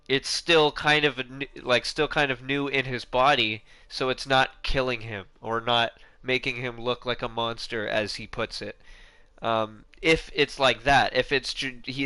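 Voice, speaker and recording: A man talks loosely about a video game, circling back and restarting his thoughts, with casual swearing along the way. His voice is bright and clean. It sits close and dry with no room sound. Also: mid-range voice, smooth, no room sound, low-quality recording. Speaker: gaming YouTuber.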